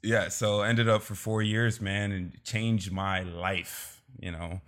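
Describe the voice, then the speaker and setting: A man's voice: fairly low pitch, level tone, slow, a bit bright. A man hosting a podcast over a remote call, laid-back and chatty, laughing often and saying "man" a lot. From Los Angeles. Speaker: podcast host. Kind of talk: casual video-call interview.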